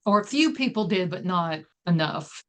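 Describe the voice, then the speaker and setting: A woman's voice: on the low side, animated, moderate pace, fairly bright tone. A woman speaking as a guest author, casually talking through a story in conversation.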